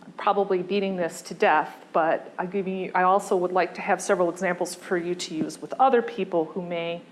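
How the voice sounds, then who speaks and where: A woman giving a conversational design lecture, pausing often with fillers and restarting her sentences. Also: fairly low pitch, steady tone, a little hiss or hum.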